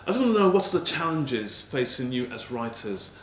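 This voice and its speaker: A man chairing a festival panel, putting measured questions to the writers. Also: medium pitch, very animated, slight background noise. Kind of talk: moderating a panel discussion. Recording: echoey room, mic at a distance, faint ambience.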